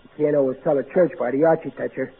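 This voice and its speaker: A man's voice: medium pitch, steady tone, quick pace, creaky, lightly acted. An actor playing a big-hearted, blustery middle-aged everyman in old-time radio style. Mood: earnest, kindly. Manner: folksy, ungrammatical, earnest, blustery. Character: big-hearted, folksy, exasperated working-class everyman. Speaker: actor in a radio comedy-drama. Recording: narrow tinny vintage radio broadcast, hissy.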